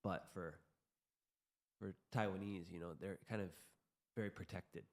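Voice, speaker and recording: A man's voice: low voice, fairly even intonation, unhurried. A man chatting casually on a podcast, rambling and opinionated, laughing at himself as he goes. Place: room in Taiwan. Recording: roomy echo.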